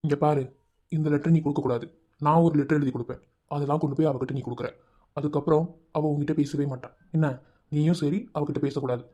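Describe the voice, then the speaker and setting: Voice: mid-range voice, mellow, lightly acted. A performer voicing a jealous, vengeful male college bully who keeps barking questions to browbeat a rival in a comedy sketch. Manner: bossy, drilling questions with "enna?". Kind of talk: comic sketch acting.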